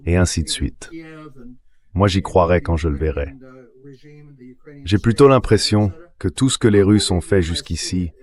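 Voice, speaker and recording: A man's voice: deep voice, a little slow, long pauses, darkish tone. A synthetic male voice giving political analysis as an interview guest, read as a translated dub. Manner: synthetic AI voice-over reading.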